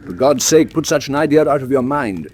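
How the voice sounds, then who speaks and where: An actor playing an eager, talkative naturalist in a radio drama, with a polite, hesitant, wordy manner. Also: male voice, medium pitch, lively intonation, fairly quick, darkish tone, close to an everyday voice. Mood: animated. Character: eager, talkative naturalist man living on the moor. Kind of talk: classic radio drama acting.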